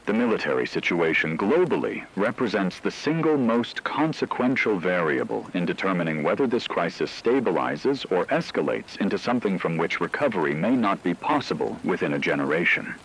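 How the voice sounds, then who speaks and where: A man playing a grave radio news analyst, delivering scripted reports in a formal, measured, analytical manner. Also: deep voice, sing-song, fairly bright tone, a little hiss or hum, exaggerated voice, poor audio.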